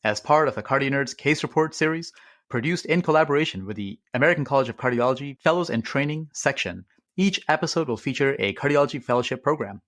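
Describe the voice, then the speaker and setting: A man's voice: mid-range voice, animated, quick pace, a bit bright. A man hosting a podcast, speaking in an upbeat, enthusiastic way as he introduces the show and guests. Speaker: podcast host.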